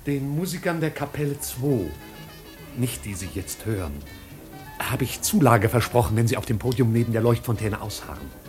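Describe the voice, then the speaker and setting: An actor playing a formal, deferential servant giving long-winded, deadpan reports in a 1950s radio play. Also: male voice, medium pitch, normal speed, natural voice. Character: formal, pedantic male servant reporting to his master. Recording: 1950s radio recording, faint music behind.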